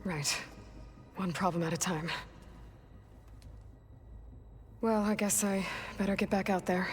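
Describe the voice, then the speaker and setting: An actress playing a determined, weary young warrior heroine in a video game, speaking in a low-key, matter-of-fact way. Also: female voice, fairly even intonation, normal speed, faint music behind, lightly acted. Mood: resolute. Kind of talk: video game cutscene acting.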